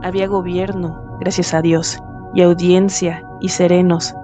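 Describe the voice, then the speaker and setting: A woman's voice: on the low side, level tone, a little slow. A woman narrating a literary story in a dramatized radio reading. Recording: music underneath.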